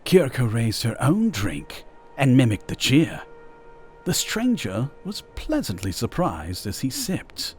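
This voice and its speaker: A man reads scripted fantasy narration as the storyteller of an audio drama. His mid-pitched voice is slow and measured in pace, yet lively in intonation. Soft music sits underneath.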